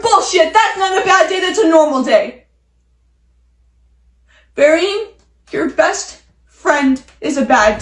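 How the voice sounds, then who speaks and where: A woman's voice: very high voice, fairly bright tone, full character voice. An amateur actress playing an exasperated, self-pitying young woman in a petulant, ranting stage monologue. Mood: exasperated, bitter. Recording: echoey room.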